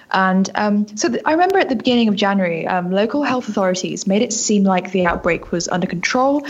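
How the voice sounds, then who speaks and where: A woman's voice: medium pitch, husky, muffled recording. A British woman in her twenties giving articulate, factual interview answers as a reporter. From United Kingdom. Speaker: news reporter, interview guest.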